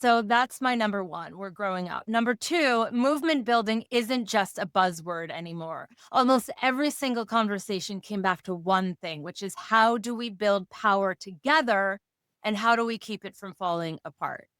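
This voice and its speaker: A woman co-hosting a podcast in a casual, playful way, slightly hoarse and given to jokes and lists. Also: mid-range voice, moderate pace, fairly bright tone, no echo, clean studio sound. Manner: playful, jokey, talks in lists. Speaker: podcast co-host. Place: Rochester area.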